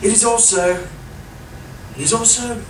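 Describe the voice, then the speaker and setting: A man's voice: very high voice, steady tone, a little slow. A man playing a genial, bumbling village vicar in an amateur radio comedy, genteel and hesitant. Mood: earnest. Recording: noisy, echoey live room, distant mic.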